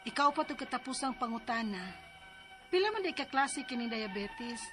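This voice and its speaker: Woman, high voice, anxious letter writer, soft music behind. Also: expressive, moderate pace. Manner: worried, pleading. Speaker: letter sender and drama lead. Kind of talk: radio drama and letter reading.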